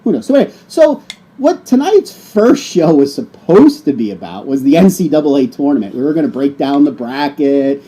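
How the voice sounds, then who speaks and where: A man's voice: on the high side, very animated, moderate pace, mellow, breathy edge, poor audio. A middle-aged man co-hosting a casual chat show, joking and teasing. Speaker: co-host.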